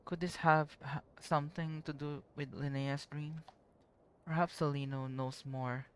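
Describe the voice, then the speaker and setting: An actor voices a calm young companion in an anime-style game. The voice is smooth and clear, with gentle, measured phrasing and a thoughtful, considerate manner. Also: medium pitch, close to an everyday voice.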